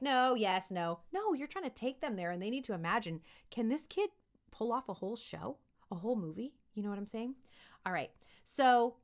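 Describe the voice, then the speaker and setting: Animated woman coaching young voice actors. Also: medium pitch. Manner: encouraging, emphatic, demonstrative. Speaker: voice acting coach for children. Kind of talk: informal instructional video aimed at kids.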